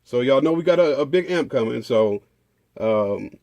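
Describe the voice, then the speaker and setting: A man's voice: medium pitch, lively intonation, normal speed, warm tone, no echo. A man, chatting casually as he unboxes car audio gear, rambling with lots of fillers and restarts.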